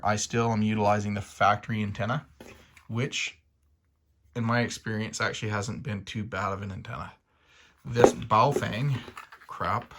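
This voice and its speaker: Low, flat-toned Canadian man explaining gear. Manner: halting, rambling, hedging explanations. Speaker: outdoors channel host. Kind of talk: casual, unscripted product explainer.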